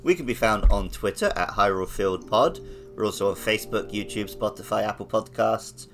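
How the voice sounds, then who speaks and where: A man's voice: lowish voice, brisk, a bit bright, soft background music. A man co-hosting a gaming podcast, chatting casually about the game and the show. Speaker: podcast co-host.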